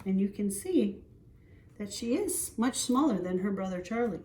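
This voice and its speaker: Mellow woman teacher reading in echoey room. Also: medium pitch, expressive, normal speed. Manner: conversational, addresses child listeners. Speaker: teacher reading to young children. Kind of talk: picture-book storytelling with asides. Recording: roomy echo, distant from mic.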